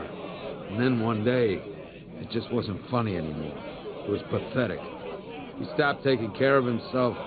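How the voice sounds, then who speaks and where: An actor playing an ingratiating, pleading criminal informant who protests and turns bitter, in a dramatic video game style. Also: male voice, medium pitch, narrow, tinny audio, lightly acted. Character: pleading, wheedling jailed criminal informant, adult man.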